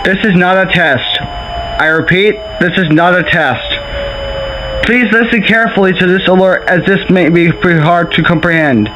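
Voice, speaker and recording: A man's voice: high-pitched, normal speed, lightly acted. A man playing a solemn official announcer, reading a scripted doomsday emergency broadcast. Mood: grave. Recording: muffled lo-fi audio with faint noise.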